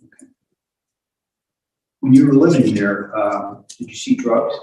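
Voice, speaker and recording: A man questioning a witness as a lawyer in court, halting and repeating words as he forms his questions. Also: fairly low pitch, warm tone. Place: indoor courtroom. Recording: echoey courtroom, distant microphone.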